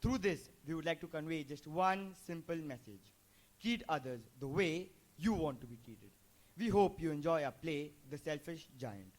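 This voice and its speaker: A teenage boy presents a school play in a formal, rehearsed manner. His voice sits a little high and he speaks in prepared, tidy phrases. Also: lively intonation, normal speed. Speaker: student presenter introducing a play.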